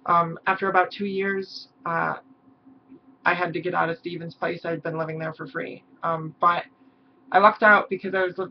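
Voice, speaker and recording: A hoarse young woman talking haltingly in a personal vlog, with many pauses and ums. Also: on the low side, flat delivery. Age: young adult. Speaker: vlogger. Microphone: camera mic. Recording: dull lo-fi mic with faint hiss.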